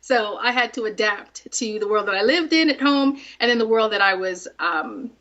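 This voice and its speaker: Bright woman guest, dull lo-fi sound. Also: mid-range voice, expressive, normal speed. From South Florida, multi-ethnic Caribbean family. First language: French. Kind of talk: conversational interview answers.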